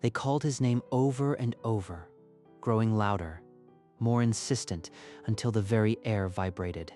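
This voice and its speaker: A man's voice: lowish voice, moderate pace, a little mellow, soft background music. A man narrating a scripted story in a dramatic style.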